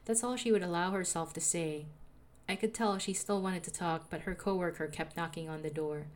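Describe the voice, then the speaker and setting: A young woman with a soothing voice, calmly narrating a personal audio diary as a podcaster. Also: lowish voice, brisk. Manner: calm, reflective, confessional.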